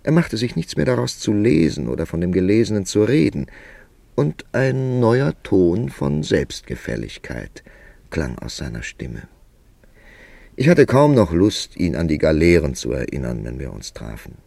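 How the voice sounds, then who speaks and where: Deep-voiced, expressive writer narrating his tale. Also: gravelly, no echo, heavily acted. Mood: wry, brooding. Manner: measured, reflective, literary. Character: Mr. Kipling, reflective male writer narrating his own story. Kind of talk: literary first-person radio play narration.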